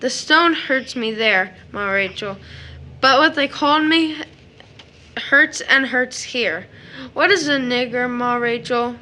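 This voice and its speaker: A woman's voice: fairly high pitch, takes it slowly, pushed and bright, slight background noise. A woman playing a sensitive, trusting seven-year-old boy in an audio drama, with a childlike, questioning manner. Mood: hurt, earnest.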